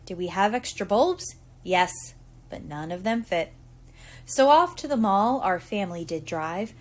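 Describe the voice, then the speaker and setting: Animated woman reading a children's story. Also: mid-range voice. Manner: deliberate, theatrical storytelling with acted emphasis. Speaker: preschool teacher reading aloud. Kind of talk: expressive children's picture book read-aloud.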